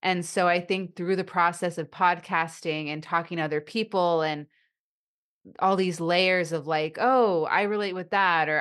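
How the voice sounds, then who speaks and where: Low, slow-talking woman who stutters. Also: a bit bright, clean tone. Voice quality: stutters with repetitions and blocks. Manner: reflective, thoughtful. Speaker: podcast host. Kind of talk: conversational interview.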